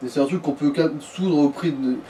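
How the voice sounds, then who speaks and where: A man's voice: on the high side, fairly quick, dark tone. A man in an informal, explanatory gaming chat, advising the player as co-commentator. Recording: distant mic, faint noise.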